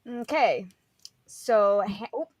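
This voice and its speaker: A woman's voice: mid-range voice, slow pace, a little mellow, dry recording. A woman co-hosting a casual talk show, chatting and reading out listener comments. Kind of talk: casual chat.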